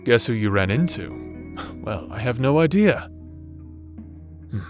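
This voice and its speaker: A man's voice: medium pitch, animated, natural voice. A man playing a doting, theatrical necromancer husband in a romantic ASMR audio drama, teasing and formal in his diction. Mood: warm, domestic. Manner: teasing, slow, formal old-fashioned diction. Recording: soft instrumental music bed behind voice.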